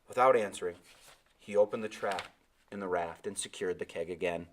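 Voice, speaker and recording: Low-pitched man narrating a storybook. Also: a little fast, a little hiss or hum. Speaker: read-aloud narrator.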